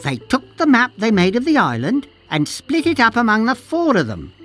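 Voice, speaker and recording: A woman's voice: on the high side, huge pitch swings, normal speed, fairly bright tone, faint music behind, heavily acted. An actress playing a cagey, knowing woman cartographer in a pirate video game, chatty and conspiratorial. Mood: storytelling. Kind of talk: video game dialogue acting.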